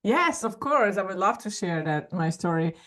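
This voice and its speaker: A woman's voice: mid-range voice, sing-song, moderate pace, fairly bright tone. A woman in her forties from Hungary with a Hungarian accent, telling her story as a podcast guest, earnest and often restarting her sentences. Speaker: guest artist. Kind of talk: podcast interview.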